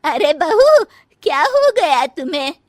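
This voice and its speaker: A woman voicing a mother-in-law in a cartoon family drama, a matriarch instructing her daughters-in-law in a drawn-out manner. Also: very high-pitched, very animated, dry recording, clean studio sound, full character voice. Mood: concerned.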